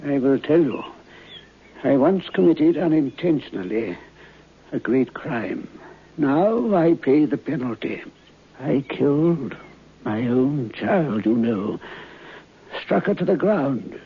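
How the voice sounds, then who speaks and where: A man playing a weary, guilt-ridden old man in an old-time radio drama, halting and mournful. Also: medium pitch, lively intonation, slightly rough, phone-quality sound, heavily acted. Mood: weary, sorrowful. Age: older. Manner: halting, shuffling, mournful delivery.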